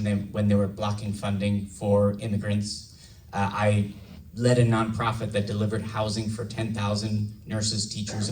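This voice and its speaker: A hoarse, slightly raspy man giving an earnest campaign speech at a candidate forum. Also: on the low side, darkish tone, recorded from a distance, rough recording. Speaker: congressional candidate. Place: large event hall.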